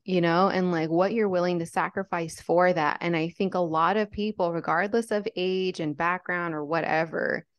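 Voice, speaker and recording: Young woman from lebanon, low-ish voice, earnest chatty podcast guest, says "like" a lot. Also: dry recording. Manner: earnest, reflective, frequent "like" fillers. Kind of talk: candid conversational storytelling.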